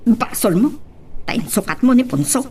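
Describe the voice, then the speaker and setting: A woman's voice: fairly high pitch, lively intonation, normal speed, creaky, a little mellow, slightly rough, exaggerated voice. A woman playing a boastful, showy rich wife in an Ilocano audio drama, with an Ilocano accent. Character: boastful rich wife flaunting her wealth. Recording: distant mic, faint noise.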